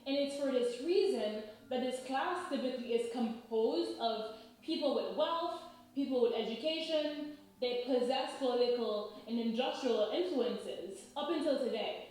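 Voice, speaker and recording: A woman's voice: high-pitched, darkish tone. Woman from Haiti in a very echoey room. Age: 30s. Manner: measured, lecturing with frequent "right?". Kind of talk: explanatory talk.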